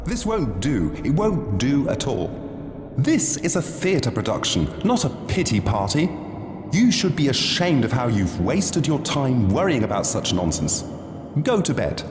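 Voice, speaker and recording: An actor playing an imperious, exasperated theatre director with a pompous, scolding manner. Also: male voice, medium pitch, sing-song, unhurried, a little mellow. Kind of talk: theatrical game dialogue. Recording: echoey hall, faint noise.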